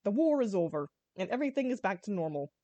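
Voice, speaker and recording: A woman's voice: mid-range voice, big pitch swings, fast talker, mellow, no room sound. A woman voicing a casual, commanding male president in a silly story reading. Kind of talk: hobby fan fiction reading.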